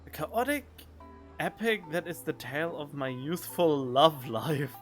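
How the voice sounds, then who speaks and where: A man's voice: fairly high pitch, animated, a little slow, fairly bright tone. A man doing casual gaming stream commentary, reading game text aloud and reacting to it. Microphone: close studio condenser microphone. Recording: game music behind.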